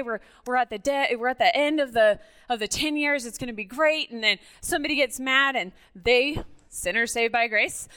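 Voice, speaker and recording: A middle-aged woman from Mexico, a native Spanish speaker, giving a conversational, animated personal testimony as a guest speaker at church, often checking in with her listeners. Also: on the high side, clean tone.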